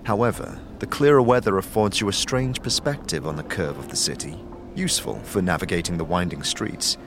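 A man narrating an audio drama in the second person, measured and descriptive, over a music bed. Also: low-pitched, background noise.

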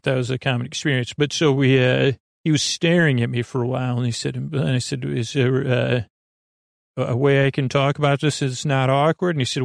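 A man hosting a sleep podcast in a rambling monologue, meandering and halting, circling back and trailing off mid-thought. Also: medium pitch, gravelly, no echo, studio quality. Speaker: podcast host.

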